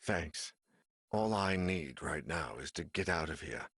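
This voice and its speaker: A man playing a haunted, weary game character full of dread, grim and halting in his delivery, with dramatic acting. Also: extremely low pitch, expressive, breathy edge, no room sound, clean studio sound, full character voice. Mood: drained, subdued. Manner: grim, halting, swearing under stress. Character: haunted, weary man searching an evil house, dread-filled. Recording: loud music behind the voice.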